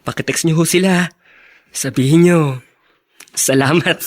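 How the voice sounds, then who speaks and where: An actor playing a breezy, hard-up young son in a radio drama, light and casual in manner. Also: male voice, on the high side, steady tone, a little slow, close to an everyday voice. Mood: pleased, cheeky. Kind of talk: Tagalog radio drama acting.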